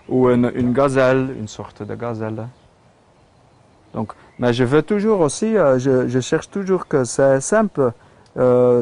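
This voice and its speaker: A man's voice: mid-range voice, a little mellow. A Belgian man with a Flemish accent, speaking French hesitantly with many fillers and restarts as he shows his metal sculptures. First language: Dutch. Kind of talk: casual studio tour.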